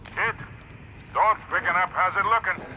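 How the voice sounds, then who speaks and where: A man's voice: sits high, steady tone, moderate pace, bright, forceful tone, slight background noise. A man playing an older mentor checking in, acted naturally for a video game. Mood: concerned.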